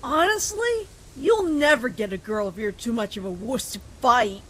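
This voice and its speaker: A woman voicing a mean young deer bully in an animated comic dub, taunting in a mocking sing-song way. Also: fairly high pitch, normal speed, husky.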